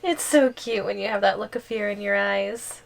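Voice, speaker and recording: A woman's voice: mid-range voice, vocal fry, close to an everyday voice. A woman playing a possessive yandere giantess girlfriend in an ASMR roleplay, sweetly menacing and playfully teasing. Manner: slow, playful teasing with frequent giggles. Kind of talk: ASMR roleplay audio drama.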